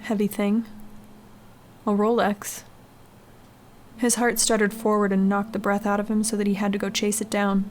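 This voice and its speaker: Even-toned woman narrating, noisy background. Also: mid-range voice, moderate pace, pauses often. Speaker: narrator reading a short horror story. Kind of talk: scripted audiobook-style narration. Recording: clearly noisy background ambience.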